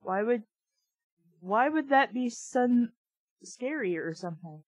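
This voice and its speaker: A woman's voice: fairly high pitch, expressive, takes it slowly, husky, dry recording. A woman reading a book aloud casually, with blunt, sweary, opinionated off-the-cuff commentary. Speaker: reader and commentator of a children's book.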